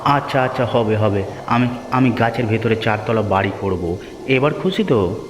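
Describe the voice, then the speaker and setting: A man's voice: fairly low pitch, expressive, moderate pace, noisy background, lightly acted. A man voicing a poor, hardworking cartoon village husband, plain and earnest, who gives in to his wife. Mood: yielding, earnest. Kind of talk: cartoon story dialogue. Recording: music behind the voice.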